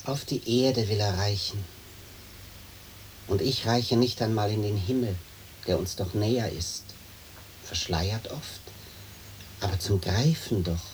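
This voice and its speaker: An actor playing a wistful, dreamy gentleman butterfly collector in a radio play, speaking in a lyrical, musing way on an old recording. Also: fairly low pitch, takes it slowly, pauses often, slight background noise, low-quality recording. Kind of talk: poetic German stereo radio play acting.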